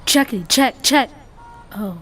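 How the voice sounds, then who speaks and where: Meek scatterbrained woman, American, very high swooping voice, apologetic, earnest, audio comedy. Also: expressive, slow pace, fairly bright tone, husky, exaggerated voice. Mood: flustered, apologetic. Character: meek, eager, scatterbrained young woman, easily flustered. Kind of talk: contemporary comic audio play acting.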